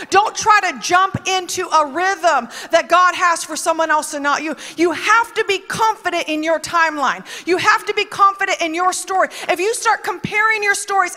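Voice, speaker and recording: A woman's voice: extremely high pitch, bright, forceful tone. A woman preaching a conversational sermon, emphatic and chatty, with asides that quote other people. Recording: long reverb of a large hall.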